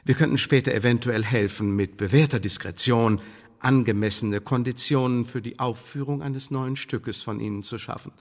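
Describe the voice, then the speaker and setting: An actor playing a coolly menacing English intelligence official in a radio play, speaking in formal, measured, condescending bureaucratic phrases. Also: male voice, medium pitch, natural voice. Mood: formal, controlled. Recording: studio radio play with long reverb.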